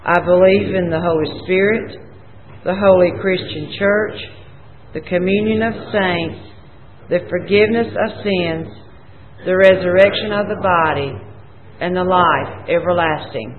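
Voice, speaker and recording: Man leading church liturgy, tinny sound. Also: sits high. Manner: measured, formal liturgical reading. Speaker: worship leader. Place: church sanctuary. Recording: tinny, phone-like church audio.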